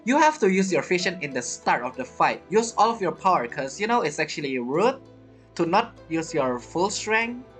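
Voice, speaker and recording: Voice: medium pitch, sing-song, normal speed, a bit bright, soft background music. A game streamer giving casual, reactive let's-play commentary in a chatty way, often repeating phrases.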